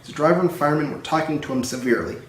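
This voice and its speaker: A man's voice: on the high side, racing, slight background noise. A man narrating a children's story as a storybook reader. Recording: roomy echo, distant microphone.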